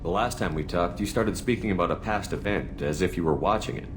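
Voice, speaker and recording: An actor playing an earnest, principled human military commander in a video game, with a direct, questioning manner. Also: male voice, deep voice, a little fast, noisy background, lightly acted. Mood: curious. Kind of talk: video game dialogue acting.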